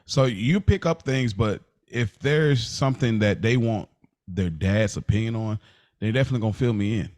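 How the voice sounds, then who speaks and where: A man's voice: fairly low pitch, normal speed, a little mellow. A man co-hosting a podcast in casual, slangy chat, often acting out quotes to explain himself. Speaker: podcast co-host.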